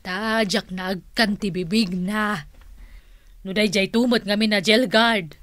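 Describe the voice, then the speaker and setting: A woman's voice: mid-range voice, fairly bright tone, dry recording, clean studio sound. An actress with an Ilocano accent playing an emotive, lovesick woman in a melodramatic radio drama. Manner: sighing, emotive, slow delivery. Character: lovesick, melodramatic woman longing for a jailed man.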